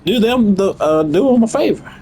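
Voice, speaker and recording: A man's voice: high-pitched, animated, moderate pace, dark tone, a little hiss or hum. Blunt, slangy male gamer. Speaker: gamer reacting to game story. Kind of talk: casual gameplay commentary. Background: faint game music.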